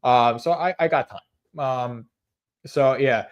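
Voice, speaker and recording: A young man hosting a casual live stream, talking at a middling pitch for a man. He rambles, stops and restarts often, and fills gaps with ums as he answers chat. His tone stays earnest, with a soft amusement at the jokes. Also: slow, lo-fi sound. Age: 20s. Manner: rambling, hesitant, many fillers and restarts. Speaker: livestream host.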